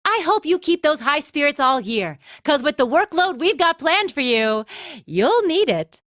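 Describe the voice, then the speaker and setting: Anime game role: playful female instructor, lilting high voice, teasing, dry close recording. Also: big pitch swings, measured pace, fairly bright tone, dry recording, exaggerated voice. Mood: breezy, teasing. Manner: playful, theatrical, casual. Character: breezy, teasing young female military academy instructor. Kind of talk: English anime game dub acting.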